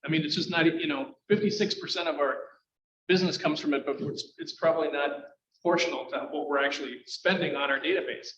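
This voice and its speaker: A man's voice: a little high, fast talker, a bit bright, slight background noise. A man leading a real estate team, making emphatic points on a panel. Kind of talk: panel discussion. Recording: echoey room, muffled sound.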